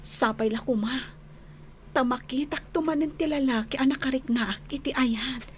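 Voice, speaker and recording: Woman playing a high-voiced sincere young college girl. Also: normal speed, slight background noise, natural voice. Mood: hopeful, sincere. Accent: Filipino, Ilocano. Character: sincere young woman college student, sweet, lovelorn, gently frank. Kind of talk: Ilocano radio drama acting.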